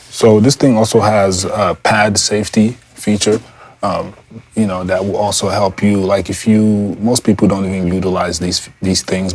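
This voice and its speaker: A man with a deep voice giving a loose, rambling gear review indoors on a lavalier mic, full of fillers and restarts. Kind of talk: casual product review. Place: indoor room.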